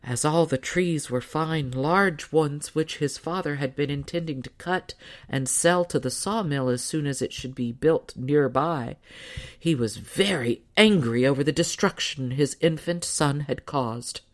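A woman's voice: deep voice, a little slow. A woman narrating a storybook as a scripted audiobook reading.

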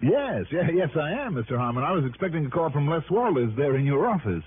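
An actor playing a brisk, wisecracking insurance investigator in a 1960s radio drama. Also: male voice, a little high, big pitch swings, phone-quality sound, slight background noise. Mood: conversational, surprised. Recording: band-limited old radio recording, loud music.

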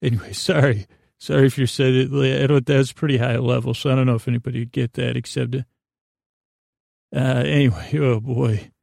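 Creaky-voiced, meandering male podcast host. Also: mid-range voice, steady tone, a little mellow, no room sound, studio quality. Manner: halting, digressive, self-deprecating, goofy. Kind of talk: meandering, rambling monologue for a bedtime podcast.

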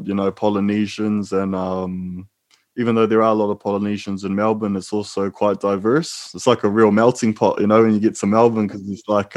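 A man's voice: on the low side, darkish tone. A man with a New Zealand accent, a former prisoner, talking as a guest in a casual, rambling way. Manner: laid-back, rambling, calls the host bro. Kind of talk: casual interview talk.

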